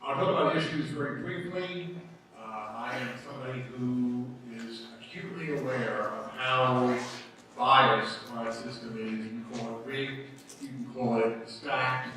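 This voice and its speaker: A man in his sixties with a slight East Coast accent speaks at a podium in a big, very echoey hall. His voice is bright and carries from some distance off the mic, over a faint hum. He sounds earnest and chatty, trading easy jokes with the room. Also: mid-range voice, measured pace. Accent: slight East Coast American. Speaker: political candidate. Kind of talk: campaign forum speech. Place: large hall.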